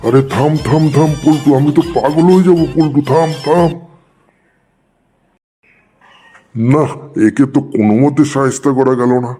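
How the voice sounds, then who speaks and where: A man's voice: on the high side, fairly quick, warm tone, soft background music, close to an everyday voice. An actor playing an exasperated male schoolteacher in a comedy cartoon, bent on catching out his pupils.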